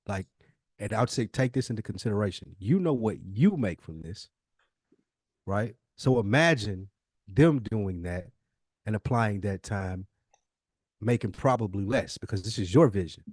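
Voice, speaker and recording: A man's voice: on the low side, animated, normal speed, a little mellow, breathy edge, no room sound, clean studio sound. A man in a conversational podcast discussion, explaining emphatically and posing rhetorical questions as a co-host.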